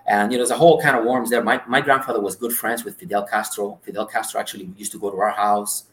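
A man's voice: lowish voice, fairly even intonation, quick pace, fairly bright tone, a little hiss or hum. A man in his forties, born in Cuba, telling earnest stories as an interview guest. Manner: earnest, anecdotal storyteller. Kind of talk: casual interview storytelling. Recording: roomy echo.

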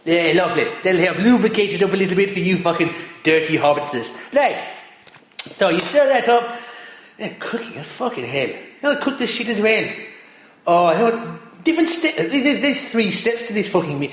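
A man's voice: high voice, lively intonation, normal speed. A man playing a crude, foul-mouthed Irish cook who rants and swears through a cooking parody, in a put-on Irish accent. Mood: grumbling rant. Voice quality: constant swearing, gruff rants. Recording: very echoey room.

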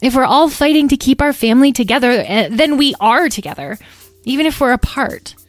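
A woman's voice: sits high, expressive, a little fast, soft background music, voice pushed up. A woman voicing an eager, determined young dragon in a storybook, insistent and earnest.

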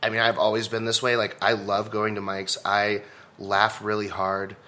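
A man from Texas, a comedian and speaker, chatting as a podcast guest with a loud, animated delivery and frequent laughter. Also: lowish voice, normal speed. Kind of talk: casual conversation.

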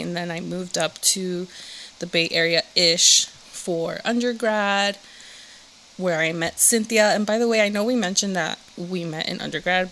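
A woman's voice: on the low side, a bit bright, slight background noise, rough recording. A young woman from Southern California, co-hosting a podcast and chatting casually, laughing often and saying "like" a lot. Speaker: podcast co-host. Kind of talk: casual chat.

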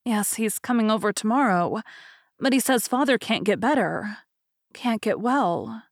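A woman's voice: a little high, animated, normal speed, no echo, studio quality, voice pushed up, lightly acted. A woman voicing a determined, earnest young farm woman in a storybook reading, lifting her voice for the part. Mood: sorrowful.

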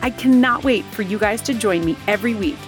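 Upbeat woman host, scripted show opener, loud music bed. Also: mid-range voice, a little fast. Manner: upbeat, promotional. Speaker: podcast host. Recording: intro read over loud music.

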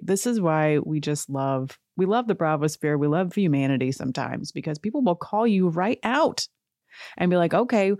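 A woman hosting a podcast in a casual, chatty way, sarcastic and quick to laugh. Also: on the low side, mellow, no room sound, studio quality. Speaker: podcast host. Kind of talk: casual chat.

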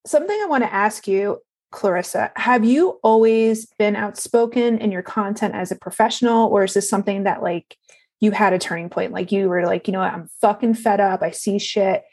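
A woman's voice: medium pitch, fairly quick, darkish tone. A woman with a mid-range voice hosts a casual interview. She talks in an informal, blunt way and swears freely as she puts her questions and opinions across. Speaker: podcast host, interviewer.

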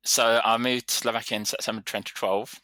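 Bright-voiced man in his thirties. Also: mid-range voice, little pitch movement, a little fast, no echo. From London, England. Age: 30s. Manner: easygoing, rambling storyteller. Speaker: vet surgeon guest. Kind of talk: casual interview chat.

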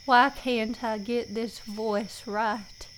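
A woman's voice: a little high, fairly even intonation, drawn-out words, slight background noise, poor audio. A woman hosting a podcast, talking casually and thinking aloud with an informal, self-mocking manner. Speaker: host and author of a fiction podcast. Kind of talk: casual podcast talk and recording outtakes.

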